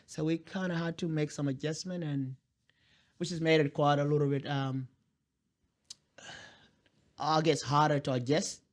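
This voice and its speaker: A young man from South Sudan whose first language is Dinka, telling his life story in an interview with many pauses, fillers and restarts. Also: a little high, steady tone. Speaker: guest.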